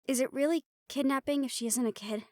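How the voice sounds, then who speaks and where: A woman's voice: sits high, fast, no room sound, natural voice. A woman playing a playful, sarcastic and tired young team member in a fantasy audio drama, talking casually. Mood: teasing. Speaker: voice actor in an audio roleplay. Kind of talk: fantasy audio drama roleplay, conversational acting.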